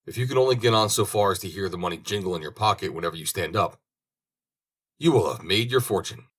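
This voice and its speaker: A man's voice: low voice, quick pace, a bit bright. A man voicing a merry, sly scissors grinder in a storybook tale, persuasive and expansive as he talks. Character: merry, sly male scissors grinder peddling his trade.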